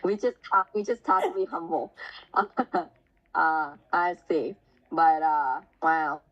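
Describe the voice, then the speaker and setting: A woman with a low, deep-set voice leads a relaxed interview. She hesitates, repeats words and fills gaps with "uh" as she goes. The recording sounds somewhat dull and muffled. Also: slow pace. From Vietnam. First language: Vietnamese. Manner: hesitant, many restarts and fillers. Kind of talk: casual conversational interview.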